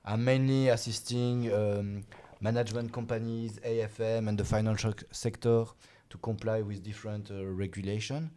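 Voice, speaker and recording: A man, a guest expert in an interview, speaking haltingly with many "uh"s and restarts. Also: fairly low pitch, flat delivery, warm tone. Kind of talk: casual interview talk.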